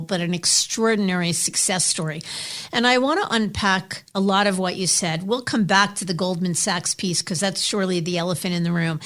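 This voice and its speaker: A woman's voice: mid-range voice, a bit bright. A woman hosting an interview podcast, reading a scripted intro and asking polished questions. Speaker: podcast host.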